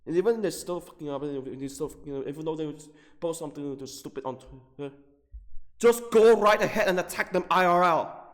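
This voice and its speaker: A man delivering an angry, off-the-cuff gaming rant, agitated and profane, repeating himself haltingly with drawn-out emphasis. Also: fairly high pitch. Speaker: gaming video creator. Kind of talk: angry off-the-cuff rant about video games. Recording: long reverberant hall-like echo.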